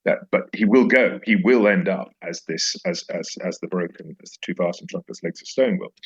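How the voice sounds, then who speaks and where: A man's voice: low voice, brisk, husky, lo-fi sound. A man speaking reflectively as an interview guest, emphatic and repeating phrases for effect. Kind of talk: reflective interview conversation with recited verse.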